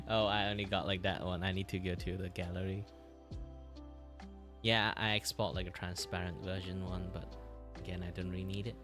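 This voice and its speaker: A man who speaks English as a second language, chatting casually on a livestream in a halting, repetitive way. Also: deep voice, music in the background. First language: not English. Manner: halting, repetitive, animated reactions. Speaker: streamer and animator.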